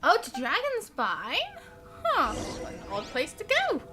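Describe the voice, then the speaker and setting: A chatty, giggly, expressive woman live streaming a game in casual chat. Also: very high-pitched, takes it slowly, background noise. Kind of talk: casual livestream chat with reactions and read-along comments. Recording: game music behind the voice. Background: game music.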